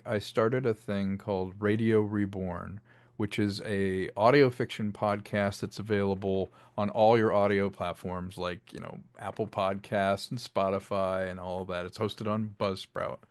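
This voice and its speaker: A man's voice: lowish voice, fairly even intonation, a little slow, no echo. A man speaking as an interview guest, telling stories about his creative work in a casual, chatty way with rambling asides. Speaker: interview guest, filmmaker and audio drama creator.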